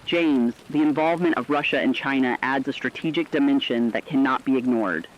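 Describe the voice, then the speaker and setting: A man's voice: mid-range voice, fairly quick, a little hiss or hum, natural voice. Slightly muffled, sober actor as male war analyst. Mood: sober, warning. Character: serious radio war correspondent giving sober strategic analysis. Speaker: news analyst. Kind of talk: scripted emergency radio news broadcast.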